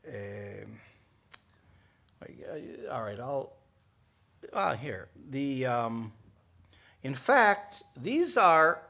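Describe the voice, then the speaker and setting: Guy, older, kinda high and bright, lots of ums and restarts while he answers a question. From United States. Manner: hesitant, restarts often, searching for notes, then explains earnestly. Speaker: guest speaker. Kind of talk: answering an audience question at a talk.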